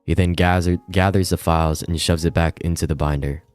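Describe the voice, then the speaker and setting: A man's voice: low voice, fairly even intonation, brisk, darkish tone, smooth. A man reading a visual novel aloud on a casual stream, stumbling now and then and tossing in giggly asides. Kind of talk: casual livestream read-along with reactions. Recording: roomy echo, music behind. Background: music.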